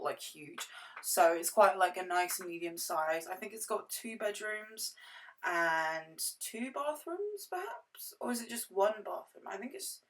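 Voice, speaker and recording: Hoarse chatty woman. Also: medium pitch, a bit bright. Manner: rambling, self-correcting, chatty. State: hoarse, lost voice. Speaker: gaming YouTuber. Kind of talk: casual game build commentary.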